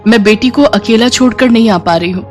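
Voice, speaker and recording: A woman plays a humble housemaid and mother in a moral story. She speaks meekly and gently, with an anxious, caring air. There is music in the background. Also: fairly high pitch, fairly quick, close to an everyday voice. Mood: meek, pleading.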